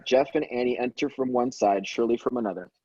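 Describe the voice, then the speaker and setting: Husky man narrating script directions. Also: mid-range voice, a little fast, darkish tone, dry recording. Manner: stumbles over words and corrects himself. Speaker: narrator reading stage directions. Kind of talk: amateur table read, plain script narration. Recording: dull, dry lo-fi microphone.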